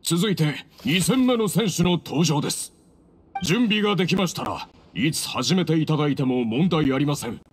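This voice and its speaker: A man plays a solemn game herald of a sacred rite. His high voice swells and falls in formal proclamations, echoing through a hall. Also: lively intonation, normal speed, slight background noise, lightly acted. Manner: formal, reverent, declamatory. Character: solemn male master of a sacred duel ceremony. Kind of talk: video game ceremonial announcement. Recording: echoing hall, faint music behind.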